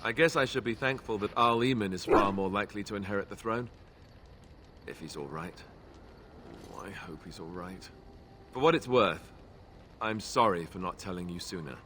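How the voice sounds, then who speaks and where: Over clearly noisy game ambience comes a man acting an awkward young warrior from a fantasy game, a reluctant royal heir. His voice sits a little low, and he speaks earnestly, hesitant and apologetic. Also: normal speed, close to an everyday voice. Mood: anguished, apologetic.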